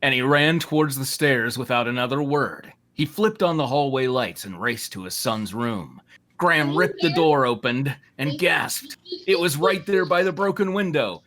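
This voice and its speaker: A man's voice: mid-range voice, expressive, moderate pace, a bit bright. A man narrating a story aloud for comedy, wry and amused, slipping into casual asides. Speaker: narrator and reader. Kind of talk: comic fan fiction reading with casual asides.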